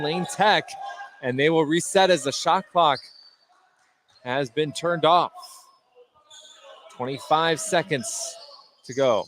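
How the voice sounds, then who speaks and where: Male sports radio announcer, higher register, live basketball play-by-play in clipped phrases, clear gymnasium noise behind. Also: fairly bright tone. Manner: clipped running description in short phrases. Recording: noisy gym, clear background noise. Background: gymnasium ambience, bouncing basketballs.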